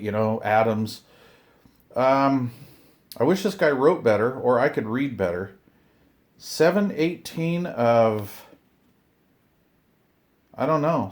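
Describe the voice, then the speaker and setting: Excited middle-aged man, rambling casually. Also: medium pitch. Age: middle-aged or older. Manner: excited, rambling, talks to himself and viewers. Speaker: hobbyist video host. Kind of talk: casual unboxing chat to camera.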